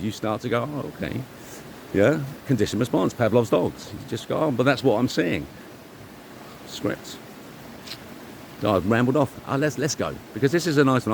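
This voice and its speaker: Low-voiced man over background noise. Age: middle-aged. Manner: emphatic, halting with repeated phrases, self-assured. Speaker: vlogger. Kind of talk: rambling informal monologue to the viewer.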